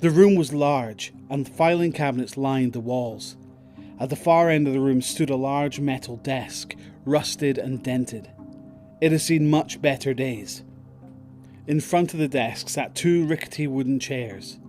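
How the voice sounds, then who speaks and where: A man's voice: medium pitch, a little slow, darkish tone, faint music behind. A man narrating a scripted audio drama story.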